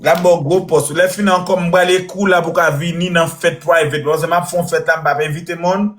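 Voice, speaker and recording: A man hosts a joking live stream, boasting and teasing in easy banter and sliding between French and Creole. His voice sits a bit high. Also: steady tone, normal speed, a bit bright.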